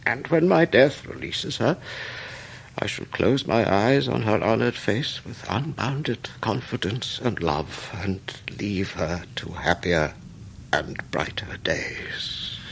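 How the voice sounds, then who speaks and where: An actor playing a gentle, honourable old scholar in a period radio drama, speaking with a British accent in a halting, earnest, dignified way. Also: male voice, on the low side, vocal fry, slight background noise, full character voice. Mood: sorrowful, dignified. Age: older.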